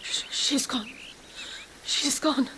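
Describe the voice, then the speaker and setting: A woman's voice: slow pace, in a whisper, close to an everyday voice. An actress voicing a distraught, vengeful woman on the edge of a breakdown in a dramatic game cutscene. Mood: panicked despair. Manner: pleading, repeats herself frantically. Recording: loud music over the voice.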